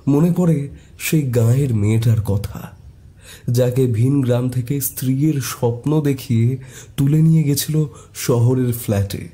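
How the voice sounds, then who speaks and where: A man's voice: medium pitch, unhurried, warm tone. A man narrating a scripted horror story in a dramatic storytelling style.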